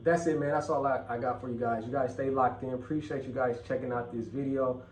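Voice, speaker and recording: A man's voice: mid-range voice, fairly even intonation, brisk, darkish tone. A man hosting his own vlog, talking bluntly and profanely and repeating phrases for emphasis. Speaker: channel host. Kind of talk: casual vlog rant. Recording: echoey room, mic at a distance.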